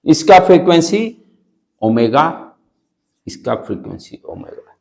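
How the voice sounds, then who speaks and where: A man with an Indian accent and a loud voice lecturing as a physics teacher, posing questions, repeating key phrases and mixing in English. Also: fairly high pitch, sing-song, measured pace, creaky.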